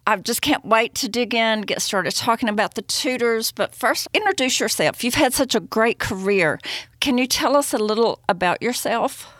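A woman's voice: a little high, expressive, normal speed, a bit bright, no room sound. A woman hosting a podcast, reading a scripted intro and interviewing a guest in an upbeat, enthusiastic way. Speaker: podcast host. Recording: dry studio sound with faint music.

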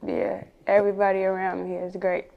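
A woman's voice: mid-range voice, completely flat delivery, a little fast, vocal fry, long reverb tail, narrow, tinny audio. A woman with a Chicago accent chatting casually as an interview guest, candid and slangy. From Chicago, United States. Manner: candid, slangy, often chuckling.

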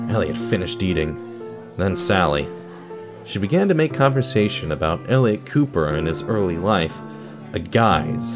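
A man reading scripted narration for an audio drama, telling a story in a dramatic style. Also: lowish voice.

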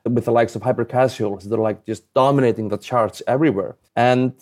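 Mellow-voiced Icelandic man, candid and informal. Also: mid-range voice, steady tone, fairly quick. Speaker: guest, mobile game entrepreneur. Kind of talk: casual conversational interview talk.

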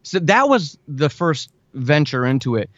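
A man's voice: fairly high pitch, big pitch swings, a little slow, fairly bright tone, dry recording. Earnest guy chatting as a podcast guest, dry close sound, kinda muffled lo-fi. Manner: earnest, enthusiastic, informal. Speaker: interview guest, audio drama writer. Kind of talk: casual chat.

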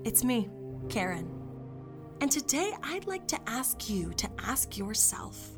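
An actress in audio fiction playing a self-important, earnestly mystical video host who narrates with solemn, portentous questions. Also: female voice, fairly high pitch, sing-song, slow, music in the background.

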